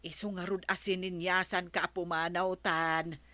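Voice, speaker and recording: A woman's voice: lowish voice, flat delivery, normal speed, bright, forceful tone, no room sound. An actress playing a curious, amused village woman who coaxes her friend along in an Ilocano radio comedy drama, with an Ilocano accent. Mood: coaxing.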